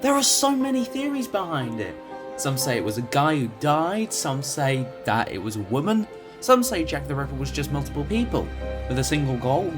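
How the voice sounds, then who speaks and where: Casting: male actor as eager, opinionated student in audio drama, highish voice swooping widely, music underneath. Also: moderate pace. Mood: eager, playful. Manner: animated, eager explaining. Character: chatty, opinionated male college student, history enthusiast. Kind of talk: amateur audio drama dialogue.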